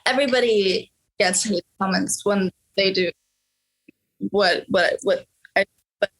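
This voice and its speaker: A teenage girl from Norway talks through interview questions in a casual, unhurried way. She hesitates often, filling gaps with fillers and starting sentences over. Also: mid-range voice, a bit bright, rough recording. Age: teens. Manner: hesitant, many fillers and restarts. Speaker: interview guest, young musician.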